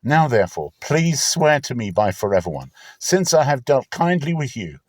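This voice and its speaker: A man's voice: mid-range voice, big pitch swings, moderate pace, dry recording, voice pushed up. A man voicing an earnest woman of ancient times who pleads solemnly and formally in a dramatic scripture reading. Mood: earnest pleading.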